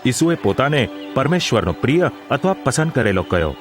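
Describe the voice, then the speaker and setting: A man's voice: mid-range voice, big pitch swings, fairly quick. A man narrating a scripted audio drama in a formal, literary storytelling manner. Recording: music under the voice.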